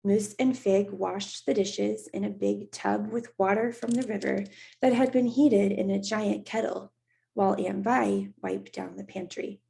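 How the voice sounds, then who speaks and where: A woman teacher reading a children's novel aloud in a steady, unhurried voice. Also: medium pitch, creaky, low-quality recording. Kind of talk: read-aloud storybook narration.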